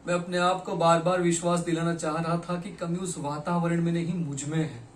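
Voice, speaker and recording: A man performing a theatrical monologue as a broken, regretful poet, speaking dramatically and deliberately with weighted pauses. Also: on the high side, little pitch movement, close to an everyday voice. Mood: agitated, bitter. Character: broken, regretful poet confessing to his lost beloved. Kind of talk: theatrical Hindi stage monologue. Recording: echoey room, distant mic, faint noise.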